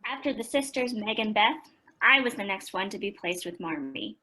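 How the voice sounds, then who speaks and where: A woman's voice: mid-range voice, lively intonation, moderate pace, pushed and bright, rough recording. A woman playing an earnest author in a radio play, recalling her foster family in reflective narration. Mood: fond, reflective.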